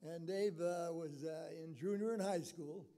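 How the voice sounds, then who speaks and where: An elderly man with a raspy, rather high-pitched voice speaks at an award ceremony. His tone is mellow and soft-edged. He delivers an informal acceptance speech, plain-spoken and halting as he reminisces. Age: 80s. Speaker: retired coach, award inductee.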